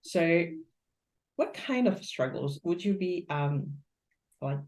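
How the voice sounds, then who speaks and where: A woman hosting a casual interview, asking questions haltingly with many fillers. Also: fairly low pitch, animated, a little mellow. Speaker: host.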